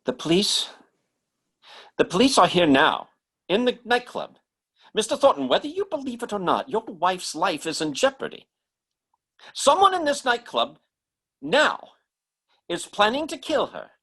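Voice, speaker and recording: Guy playing earnest psychic showman in radio mystery, halting and emphatic, pitch swings all over the place. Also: big pitch swings, fairly bright tone, slightly rough, full character voice. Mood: grave, urgent. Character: earnest, insistent stage mentalist with telepathic powers. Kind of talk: old-time radio mystery drama acting.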